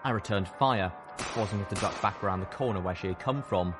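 A man's voice: sits low, fairly quick, over music. A man reading scripted first-person fiction as an audiobook narrator.

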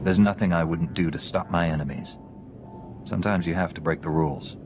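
Principled game hero actor, very low male voice, composed and earnest. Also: brisk, over music, natural voice. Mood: firm, composed. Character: calm, principled male Jedi knight hero. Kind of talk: video game cutscene acting.

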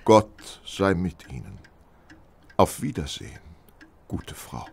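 An actor playing a mild, pious, frail vicar who speaks hesitantly and courteously in a radio play. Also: male voice, deep voice, very animated, slow, gravelly, darkish tone, husky, exaggerated voice. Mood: mild, polite. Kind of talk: German radio play acting.

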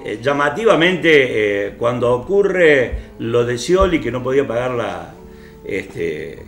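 A man in his sixties with an Argentine accent discussing politics off the cuff as a panel host, halting and reflective with frequent pauses. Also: mid-range voice, sing-song. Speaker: panel host on a regional TV talk show. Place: small TV studio at a panel table. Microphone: table microphone. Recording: roomy echo, faint music behind.

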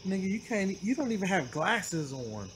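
A man's voice: sits high, big pitch swings, normal speed. A young American man chatting casually in slangy, profane talk, picked up off camera outdoors at night. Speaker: companion on a ghost hunt. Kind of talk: casual chat. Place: outdoors at night around a train station. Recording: very noisy outdoor night recording with insects.

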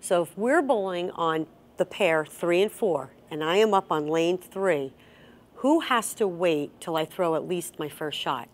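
A woman's voice: lowish voice, lively intonation, a little slow. A middle-aged woman hosting an instructional chat in a bowling center, explaining rules step by step and asking guiding questions. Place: bowling center, seated at a table.

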